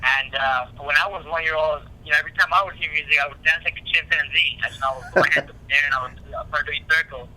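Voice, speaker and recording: A man speaking as a boxer in a casual phone interview, halting and repeating himself, with frequent "you know". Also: a little high, steady tone, bright, forceful tone. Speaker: professional boxer being interviewed. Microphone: telephone call. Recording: tinny phone line.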